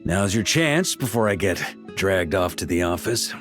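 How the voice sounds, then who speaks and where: An actor playing a seasoned, easygoing knight grandmaster in a video game, speaking in a laid-back, wry way. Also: male voice, sits low, animated, moderate pace, fairly bright tone, faint music behind. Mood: relieved, warm. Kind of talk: video game character dialogue.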